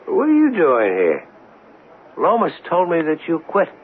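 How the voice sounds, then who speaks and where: Brash radio cop, wildly animated. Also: male voice, a little high, a little slow. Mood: businesslike. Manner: blunt, pushy, slangy. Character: brash, dogged male police detective sergeant. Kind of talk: 1970s radio drama acting. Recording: tinny, noisy old radio recording.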